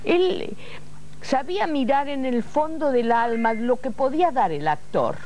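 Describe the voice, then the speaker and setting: A woman's voice: sits high, lively intonation, a little slow, a little mellow, a little hiss or hum. An older woman, an actress, reminiscing in a studio conversation. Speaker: actress, panel guest. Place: television studio.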